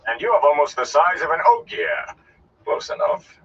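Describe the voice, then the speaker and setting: Audiobook gleeman, played by a man: flamboyant, scornful old entertainer, grand declamation with sweeping pitch, muffled and faintly noisy sound. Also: big pitch swings, fairly bright tone, distant mic. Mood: appraising. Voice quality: deep, resonant. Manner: grandiloquent, declamatory, drawn-out delivery. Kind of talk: audiobook character voice.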